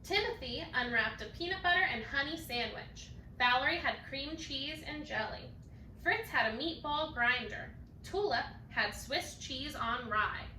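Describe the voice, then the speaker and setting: A woman with a high voice reads a children's storybook aloud, sounding very bright and crisp in tone. She is expressive and animated, as in a bedtime read-aloud. The recording is roomy and a little distant from the microphone. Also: measured pace. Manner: animated, acts out characters' lines. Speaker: storybook reader. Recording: roomy, distant mic, faint noise.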